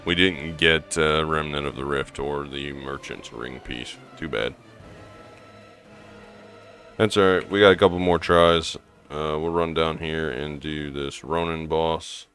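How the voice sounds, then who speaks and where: Hoarse man, very low voice, casual rambling gameplay talk over soft music. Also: fairly even intonation, measured pace. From American Midwest. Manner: rambling, thinking aloud with fillers. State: hoarse from allergies. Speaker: gaming streamer.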